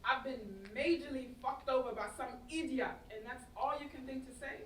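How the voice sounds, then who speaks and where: A woman's voice: sits high, normal speed, a bit bright. A young woman playing a jilted, sarcastic young woman, slangy and emphatic, in a staged play reading. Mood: indignant, defiant. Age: young adult. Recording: roomy, distant stage mic, faint noise.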